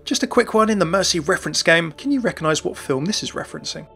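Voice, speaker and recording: A man's voice: a little high, animated, fast talker, gravelly, pushed and bright, soft background music. A man hosting a video, narrating game lore in a chatty, enthusiastic scripted style. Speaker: gaming lore video host.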